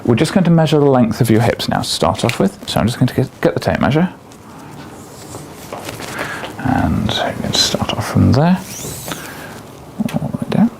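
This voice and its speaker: A man's voice: medium pitch, sing-song, normal speed, gravelly, long pauses, background noise. A man working as a doctor, giving polite, procedural step-by-step instructions during a clinical exam. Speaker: doctor examining a patient.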